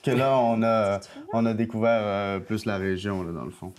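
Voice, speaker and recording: A man from Montreal with a Québécois accent, talking in a relaxed, laid-back way in an interview. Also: fairly low pitch, moderate pace, heavy background noise.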